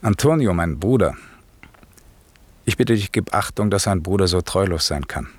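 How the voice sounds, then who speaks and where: An actor in a German radio play, playing a grave magician father who declaims in a solemn, measured way. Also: sits low, expressive, breathy edge, dry recording. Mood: grave, bitter. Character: grave, commanding magician father, an exiled duke.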